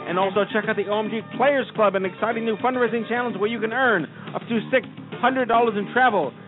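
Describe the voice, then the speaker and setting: A man with a high voice for his sex reads promos and chats with his guest in a hyped, playful way. The sound is thin and phone-like, and music plays clearly behind him. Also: fast. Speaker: co-host. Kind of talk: radio show hosting and news reading.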